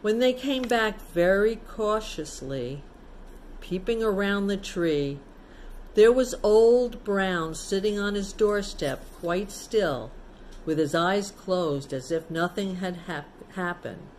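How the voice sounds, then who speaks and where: A woman reads a children's storybook at a slow, unhurried pace, like a teacher with a young class. She breaks off for chatty asides, simple explanations and questions to the listeners. There is faint noise behind her voice. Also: mid-range voice, expressive, a little mellow, low-quality recording. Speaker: teacher reading a picture book to children.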